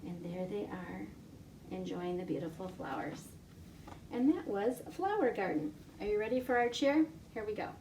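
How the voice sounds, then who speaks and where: A woman librarian reading a picture story aloud to young children from her home, animated and full of gasps. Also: mid-range voice, normal speed, recorded from a distance, slight background noise. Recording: echoey room, voice off-mic.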